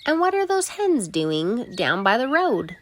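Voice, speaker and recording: A woman's voice: fairly high pitch, sing-song, measured pace, voice pushed up. A woman voicing a practical, puzzled farm couple in a storybook, plain-spoken and emphatic.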